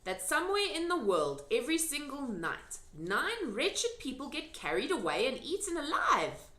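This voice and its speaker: A woman voicing a curious, earnest little girl in a children's storybook, questioning and quick to be indignant. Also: high voice, huge pitch swings, sharp tone, pitched above the performer's own voice, full character voice. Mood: wondering. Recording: echoey room.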